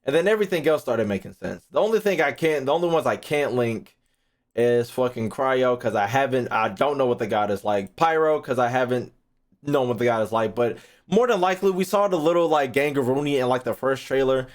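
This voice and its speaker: A man's voice: medium pitch, animated, fairly quick. A man streaming casually, thinking aloud in a rambling way and swearing freely as he chats. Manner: rambling, foul-mouthed.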